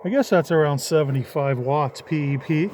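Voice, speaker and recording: Higher-voiced man, folksy and casual, noisy recording. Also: normal speed, a little mellow. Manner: folksy, informal, thinking aloud. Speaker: hobbyist vlogger demonstrating a CB radio. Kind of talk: casual hands-on demonstration. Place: in or beside a parked vehicle. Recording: clearly noisy handheld amateur recording.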